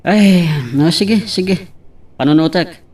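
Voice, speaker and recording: A man's voice: high-pitched, slow pace, a little mellow. A man with an Ilocano accent playing a casual young friend in an audio drama, on a slightly noisy, distant recording. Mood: casual, amused. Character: young man, casual buddy shy about love. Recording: distant mic, faint noise.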